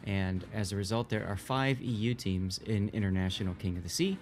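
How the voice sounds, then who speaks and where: A man giving conversational live commentary as an esports shoutcaster. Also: on the low side, brisk, noisy background.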